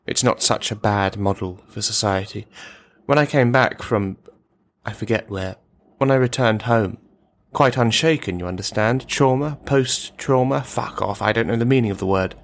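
A man's voice: fairly low pitch, normal speed, natural voice. A man performing a radio play monologue as a bitter, brittle, menacing army veteran, rambling and brooding as he addresses a silent listener. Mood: brooding, confessional, then defensive, bitter.

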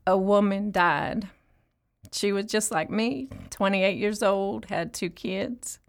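A weary young mother in prison, confessing her losses in an audio play. Her pace is slow and halting, with a creaky fry in a plainspoken, numb delivery. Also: medium pitch. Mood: weary, matter-of-fact. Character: numb, bereaved incarcerated mother, late twenties to thirties.

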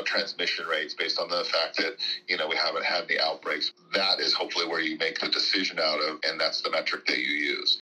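An American man gives unscripted interview answers in a deep voice with a very bright, forceful edge. His tone is rough and husky, and he talks like an official, hedging as he goes. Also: low-quality recording. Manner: hedging, peppered with "you know". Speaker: school district official being interviewed.

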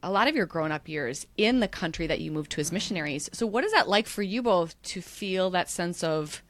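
A woman's voice: on the low side, expressive, a little fast. A woman hosting a podcast, asking questions in a conversational way. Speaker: podcast host. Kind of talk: interview host.

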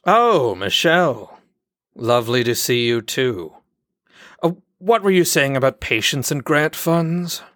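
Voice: mid-range voice, sing-song, measured pace, dry recording, studio quality. An actor playing a smug, manipulative doctor in an audio drama, with condescending, mock-polite, theatrical phrasing. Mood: mock-pleasant, teasing. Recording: loud music under the voice. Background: music.

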